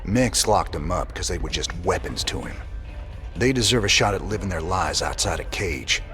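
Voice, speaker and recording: A world-weary rebel commander talks low in a gruff Southern American drawl. He sounds like a tired space cowboy in a video game cutscene, sparing with words, with music behind him. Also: lively intonation, normal speed, fairly bright tone. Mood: wry, firm.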